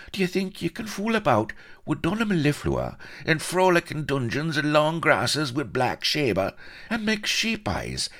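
Sly Irish colonel, pitched up, lilting swoops, self-pitying, haughty, storybook scoundrel. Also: male voice, a bit bright, exaggerated voice. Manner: wheedling, self-justifying, complacent.

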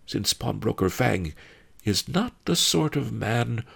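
A man's voice: mid-range voice, animated, slow, creaky, fairly bright tone, husky, pitched above the performer's own voice, full character voice. A man voicing a wry, scheming old sage in an audiobook, thoughtful and dryly amused.

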